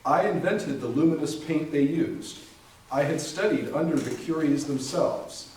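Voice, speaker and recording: A man's voice: a little high, unhurried, darkish tone, slight background noise, close to an everyday voice. A man playing a grave, knowing doctor and inventor in his thirties in a readers theater monologue. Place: library readers theater performance, distant microphone. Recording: very echoey room, distant mic.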